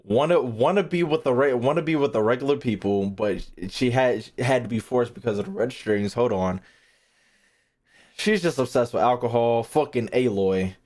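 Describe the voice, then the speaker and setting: Guy doing casual stream commentary, swears a lot, keeps repeating himself and losing his thread, medium pitch. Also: normal speed, darkish tone. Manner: rambling, repeats phrases, trails off mid-thought; slangy, heavy swearing. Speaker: gaming streamer, YouTuber. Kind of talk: casual live-stream commentary, thinking aloud.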